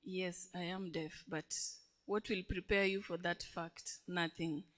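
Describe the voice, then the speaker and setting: A woman's voice: on the low side, steady tone, a little slow, fairly bright tone. A deaf woman giving a testimony in church, engaging the congregation with questions. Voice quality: deaf speaker. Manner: engaging, asks the audience questions.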